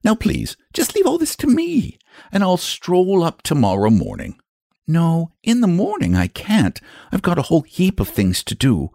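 A man's voice: fairly high pitch, huge pitch swings, moderate pace, gravelly, dark tone, dry recording, studio quality, pitched above the performer's own voice, exaggerated voice. A man voicing a bookish, self-assured young country boy in a storybook, unruffled, matter-of-fact and a little condescending. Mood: calmly confident.

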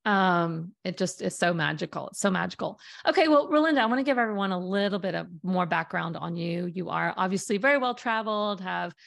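Gravelly, enthusiastic woman host. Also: on the low side, lively intonation, a little fast, few pauses. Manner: enthusiastic, effusive, many fillers. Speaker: podcast host, storytelling coach. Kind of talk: conversational interview hosting.

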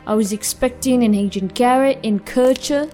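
A woman's voice: fairly high pitch, moderate pace, mellow, soft background music, lightly acted. A woman playing a no-nonsense police officer on guard duty in an audio drama. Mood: brisk, official. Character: brisk, no-nonsense policewoman guarding a crime scene.

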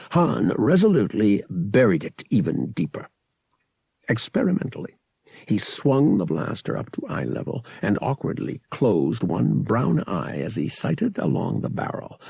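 A man's voice: medium pitch, vocal fry, mellow, slightly rough, no room sound. A man reading an adventure novel as an audiobook narrator, in dramatic scripted narration.

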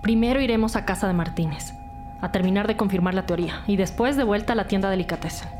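A woman's voice: medium pitch, fast talker, music in the background, lightly acted. A woman playing a methodical, level-headed police detective in an audio drama. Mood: concerned.

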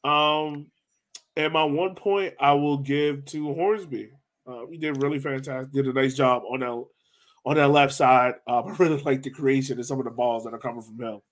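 A man with a medium-pitched voice hosts a sports podcast. He talks informally, as in a relaxed chat about the team. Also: normal speed. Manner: informal, conversational. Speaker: podcast host. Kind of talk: casual sports discussion.

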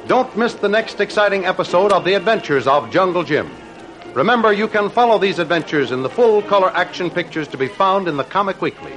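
A man's voice: sits high, a bit bright, low-quality recording. An American man working as an announcer, delivering scripted promotion in old-time radio style. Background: music.

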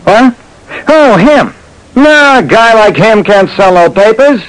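A man's voice: high-pitched, animated, slow, voice pushed up, rough recording. A man voicing a brash, cynical newspaperman in a vintage radio detective drama, with a slangy, hustling delivery. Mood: dismissive, scornful. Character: brash, cynical male newspaperman, slick and dismissive. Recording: old radio recording with faint noise.

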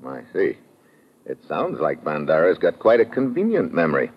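Deep-voiced, hard-boiled American radio hero. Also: male voice, wildly swooping pitch, moderate pace, slightly rough, full character voice. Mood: sardonic. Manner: hard-boiled, sardonic, clipped. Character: tough wry American male adventurer narrating his own case. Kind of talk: 1950s radio detective drama acting. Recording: muffled vintage radio recording.

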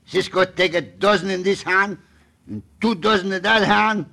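Comic mexican cowboy sidekick, broken english, bright gravelly voice, old radio western. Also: male voice, high-pitched, moderate pace, full character voice. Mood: boastful, eager. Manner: broken English, exclamatory, talks of himself by name. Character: Pancho, excitable, loyal, comic Mexican sidekick. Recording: faintly noisy vintage radio recording.

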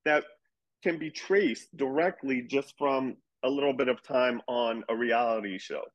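A man's voice: mid-range voice, a little slow, highs cut off. A man talking candidly and animatedly in a casual podcast conversation, swearing now and then as he explains his views. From grew up in a Persian community. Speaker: reality TV star guest.

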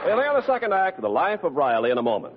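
A man's voice: high-pitched, huge pitch swings, rapid-fire, slight background noise. A man announcing in 1940s radio commercial style, polished and emphatic. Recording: muffled vintage radio broadcast.

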